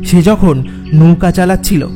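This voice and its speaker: A man narrating a first-person horror story dramatically, with suspenseful pauses and repeated words. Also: high-pitched. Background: background music.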